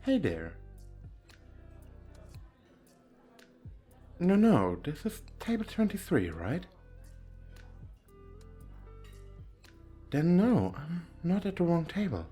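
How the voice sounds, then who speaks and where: A man's voice: fairly high pitch, huge pitch swings, normal speed, warm tone, husky, soft background music, voice pushed up, exaggerated voice. Man putting on a lilting, swoopy, flirty femboy. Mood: friendly, reassuring. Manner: lilting, effeminate, giggly, coy. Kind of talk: flirty ASMR roleplay addressed to the listener.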